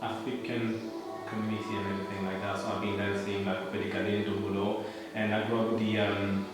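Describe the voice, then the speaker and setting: A man's voice: lowish voice, level tone, a little fast. A man with a French accent, a champion dancer introducing himself in an interview, upbeat and emphatic. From Cameroon, grew up in France. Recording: echoey hall, mic far off, music.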